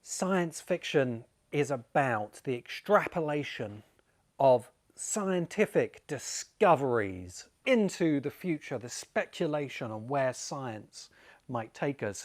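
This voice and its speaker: Male presenter, mid-pitched, for an explanatory video essay; emphatic, deliberate delivery with pointed pauses. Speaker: presenter and writing course teacher. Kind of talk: explanatory video essay, semi-spontaneous lecture.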